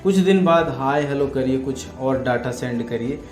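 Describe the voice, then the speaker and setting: A man's voice: medium pitch, normal speed, a little mellow. A man giving an instructional talk as a coach, explaining emphatically and repetitively with lists of examples. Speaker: network marketing coach. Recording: roomy echo with music underneath. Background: music.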